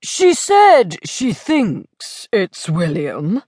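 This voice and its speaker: A woman voicing a wry, urbane gentleman lord in an audiobook, droll and deliberate in manner. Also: medium pitch, wildly swooping pitch, breathy edge, no echo, studio quality, full character voice. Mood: dry wit.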